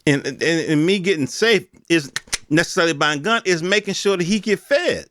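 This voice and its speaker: A man's voice: on the high side, lively intonation, brisk, fairly bright tone, slight background noise. An American man hosting a podcast, giving unscripted news commentary in an emphatic, colloquial, repetitive way with rhetorical questions. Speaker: podcast host.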